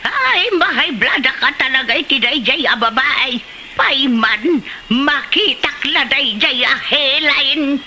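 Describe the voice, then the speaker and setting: Actress doing an angry old ilocano village granny, high sharp scolding voice, bit of background noise. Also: female voice, expressive, slow pace, exaggerated voice. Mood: indignant. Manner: scolding, exclamatory. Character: indignant elderly village woman, quick to scold. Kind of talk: Ilocano comedy drama acting.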